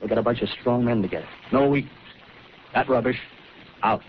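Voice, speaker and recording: An actor with an American accent playing a wild-eyed, scheming survivalist militiaman, talking rough and fervently in 1930s radio drama style. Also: mid-range voice, a little fast, close to an everyday voice. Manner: rough colloquial talk, fervent, ranting. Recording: noisy, muffled 1938 radio broadcast recording.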